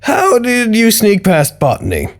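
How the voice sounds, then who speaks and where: A man's voice: sits high, huge pitch swings, unhurried, heavily acted. A man acting as a dry, questioning, slightly put-upon research scientist in an audio drama. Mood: probing. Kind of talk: naturalistic audio drama dialogue.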